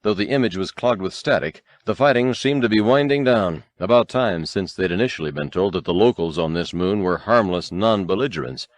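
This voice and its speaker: Deepish guy reading an action story dramatically, dry close sound. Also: expressive, brisk, hardly any pauses, no room sound. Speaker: audiobook narrator. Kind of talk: dramatic scripted storytelling narration.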